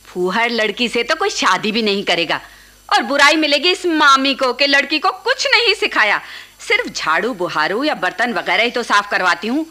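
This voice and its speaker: A woman playing a sharp-tongued, scolding middle-aged housewife and aunt in a radio play. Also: on the high side, very animated, normal speed. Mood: indignant, complaining. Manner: scolding, complaining, sarcastic. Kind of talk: Hindi radio play acting.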